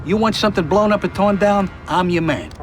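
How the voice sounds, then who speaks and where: Casting: male actor for a glib, boastful mafia recruit in a crime game, notably high-pitched. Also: a little fast, music in the background, natural voice. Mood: cocky. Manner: cocky, glib. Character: slick, boastful young male mob henchman. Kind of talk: video game cutscene acting.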